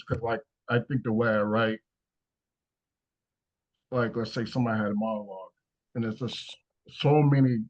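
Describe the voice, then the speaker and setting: A man's voice: mid-range voice, darkish tone, lo-fi sound. A man answering questions casually as a screenwriter, talking in a hesitant, rambling way. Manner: hesitant, rambling, frequent "you know". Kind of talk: casual Q&A talk after a script read.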